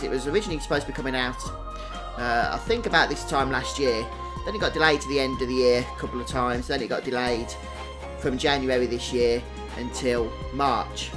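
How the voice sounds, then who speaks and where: A man's voice: mid-range voice, low-quality recording. A man giving casual live stream commentary as a game streamer. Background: game music behind the voice.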